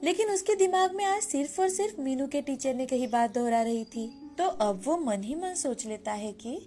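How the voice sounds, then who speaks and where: High-pitched woman narrating a children's story. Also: lively intonation, moderate pace, mellow. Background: background music.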